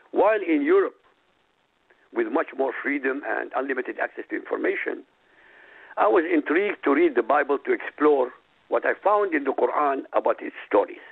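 A man's voice: mid-range voice, vocal fry, slightly rough. A man from Iraq whose first language is Arabic, a radio guest reading out a prepared statement in an emphatic, declamatory way. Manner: emphatic, declamatory, lists items slowly. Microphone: phone. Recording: tinny phone line.

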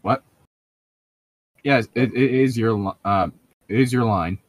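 A man's voice: mid-range voice, measured pace, no room sound. A man casually joking with friends and reading a novel aloud as a podcast host on a voice call. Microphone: close mic on an online voice call.

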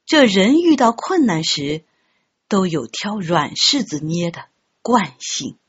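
A woman reading a novel aloud as an audiobook narrator, in an expressive storytelling style. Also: medium pitch, slow pace, husky. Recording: dull, muffled lo-fi audio.

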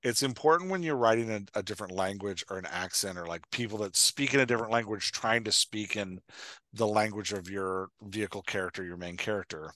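Bright-voiced man, slightly low, thinking aloud. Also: brisk, dry recording. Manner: thinking aloud, restarting sentences. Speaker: podcast host and writing coach. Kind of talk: casual explanatory chat. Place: indoor room on a video call. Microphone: headset.

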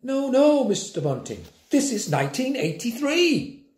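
Male reader playing a high, sharp-tongued schoolmistress, clipped and haughty, in a roomy echo. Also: very animated, unhurried. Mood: exasperated. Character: prim stern female schoolteacher, haughty and cutting.

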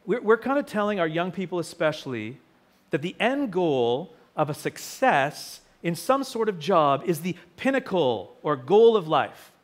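A man in his thirties with a sore, fading voice, preaching a sermon at a lectern in a small church hall, telling stories with lively quoted voices. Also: a little high, unhurried. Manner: storytelling, animated quoted voices. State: sore throat, losing his voice. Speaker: pastor. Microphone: lectern mic, far camera.

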